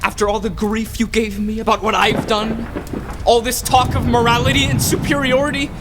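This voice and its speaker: A man's voice: very high-pitched, little pitch movement, normal speed, noisy background. A man playing an indignant, accusing god and outraged father in a confrontational radio drama. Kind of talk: dramatic radio play acting.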